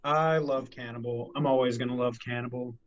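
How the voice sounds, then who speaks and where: A man's voice: mid-range voice, steady tone, brisk. A man co-hosting a casual podcast, rambling and laughing as he acts out quotes from shows. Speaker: podcast co-host. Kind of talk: casual chat. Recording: dull lo-fi podcast audio.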